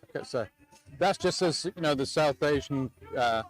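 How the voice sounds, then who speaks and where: A man from England, a cricket co-commentator, chatting in a relaxed way and often agreeing. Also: fairly high pitch, moderate pace, faint music behind. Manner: relaxed, frequent agreeing yeahs.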